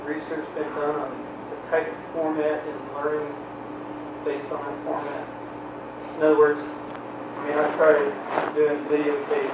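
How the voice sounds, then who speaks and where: A man in the audience asking a halting, rambling question in a seminar room. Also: on the high side. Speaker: audience member. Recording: distant, echoey, loud background noise.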